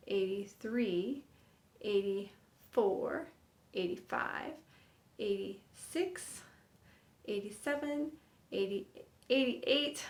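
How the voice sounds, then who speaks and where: Woman, fifties, sign language teacher, upbeat, encouraging, slow, roomy echo. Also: mid-range voice. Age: 50s. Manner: upbeat, encouraging, laughs at own slips. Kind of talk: counting aloud while demonstrating signs. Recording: echoey room.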